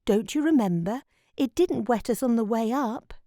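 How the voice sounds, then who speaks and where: A woman's voice: on the high side, moderate pace, mellow, dry recording, clean studio sound, close to an everyday voice. A woman playing an eager, slightly puzzled young boy in a children's storybook, plain-spoken and earnest. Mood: easygoing.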